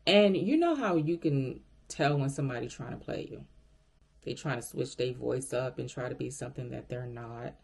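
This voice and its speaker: Woman vlogger, deep gravelly voice, chatty storyteller talking to viewers. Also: moderate pace. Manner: chatty and animated, addresses viewers directly. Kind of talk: casual storytime.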